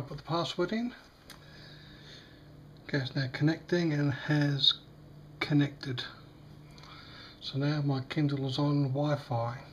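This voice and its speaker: A man with a slightly croaky voice, demonstrating a device in a casual, hesitant way with many fillers. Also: fairly high pitch, slight background noise. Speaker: tech unboxing vlogger. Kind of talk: casual product demo. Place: small indoor room at a table.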